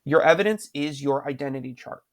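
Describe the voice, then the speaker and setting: A man's voice: mid-range voice, brisk. A male teacher recording a lesson at his computer, talking patiently and repeating questions to prompt his students. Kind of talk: screen-recorded writing lesson.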